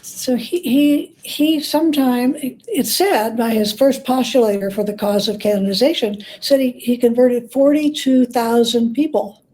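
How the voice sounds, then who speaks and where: A woman with a very mellow, slightly high voice speaks as an interview guest. She is a biographer who explains and tells anecdotes in a conversational style. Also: a little slow, rough recording.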